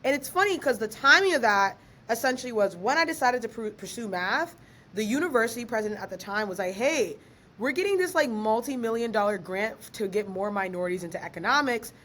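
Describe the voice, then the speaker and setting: A fairly high-voiced young woman talks with a podcast host. She is lively and slangy and laughs often. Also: brisk. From Ghana, raised in Maryland. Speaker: guest, author and researcher. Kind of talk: casual podcast interview.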